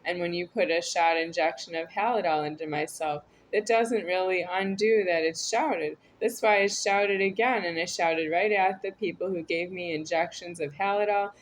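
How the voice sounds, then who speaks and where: A woman's voice: on the low side, moderate pace, hardly any pauses, a little hiss or hum, low-quality recording. A woman talking to camera in a meandering, repetitive, earnest monologue. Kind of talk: rambling spoken monologue to camera.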